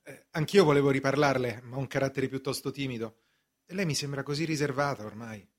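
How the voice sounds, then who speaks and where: A man playing a puzzled, courteous accused man with a husky voice, full of polite questions, in a radio drama. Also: mid-range voice, fast talker, close to an everyday voice. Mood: shy, flirting. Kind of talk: stage acting in a radio drama.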